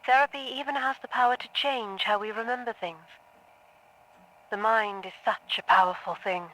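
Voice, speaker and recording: An actress playing a composed, clinical female therapist in an audio drama, speaking in a measured and neutral way. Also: mid-range voice, a bit bright, lightly acted, rough recording. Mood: detached, clinical.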